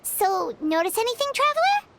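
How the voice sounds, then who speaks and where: Cheeky little fairy sidekick: extremely high, squeaky, childlike, lively delivery for a video game. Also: female voice, moderate pace, very noisy, exaggerated voice. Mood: encouraging. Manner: squeaky, speaks of self in third person. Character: tiny chirpy childlike fairy companion, cheeky and outspoken.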